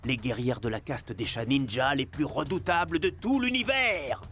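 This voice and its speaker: An actor narrating a game's epic tale in a dramatic scripted storytelling style with wry humour. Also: male voice, medium pitch, normal speed, a bit bright, faint music behind, natural voice.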